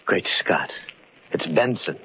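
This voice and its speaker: A man's voice: sits low, animated, takes it slowly, pushed and bright, exaggerated voice. A man acting a stern, accusing party host in a vintage radio mystery, speaking in a formal, insistent way. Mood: shocked. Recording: muffled old radio recording, faint noise.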